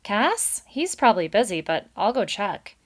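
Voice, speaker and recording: A woman's voice: mid-range voice, very animated, unhurried. A woman voicing a casual, cheeky beaver in a children's storybook, slangy and chummy. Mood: breezy.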